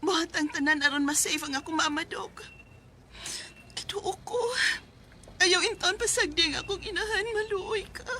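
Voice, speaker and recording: A woman's voice: very high-pitched, moderate pace, pushed and bright, a little hiss or hum. A Filipino woman with a Cebuano accent playing a devoted, anxious daughter in an emotional radio drama. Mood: distraught, pleading. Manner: emotional, pleading. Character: devoted, anxious grown daughter recounting her mother's illness. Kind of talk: radio drama acting and letter narration. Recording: radio drama with background music.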